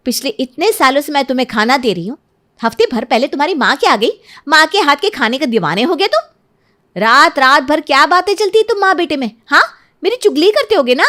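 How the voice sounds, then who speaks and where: A woman's voice: high voice, expressive, a little fast, bright, forceful tone, pitched above the performer's own voice. A woman voicing a jealous, resentful young daughter-in-law in a cartoon story, indignant and complaining. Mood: angry, accusing. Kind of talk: Hindi cartoon story acting.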